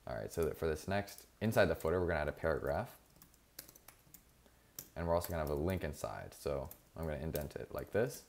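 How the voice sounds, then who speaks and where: A man narrating a screen-recorded coding tutorial in a casual, relaxed way, with frequent fillers and self-corrections. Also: deep voice. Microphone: voice-over over screen recording.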